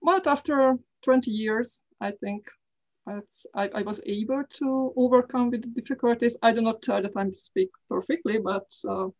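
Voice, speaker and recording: Hungarian-accented woman, careful and halting. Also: on the high side, a little mellow, low-quality recording. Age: middle-aged. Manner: careful, halting, frequent restarts. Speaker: guest. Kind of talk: casual interview talk.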